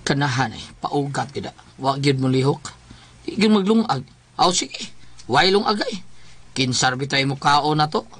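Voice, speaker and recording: A man's voice: medium pitch, expressive, normal speed, fairly bright tone. A man playing a long-suffering, suspicious husband who complains and nags in a Filipino comedy radio drama. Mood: grumbling, insistent. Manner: complaining, nagging.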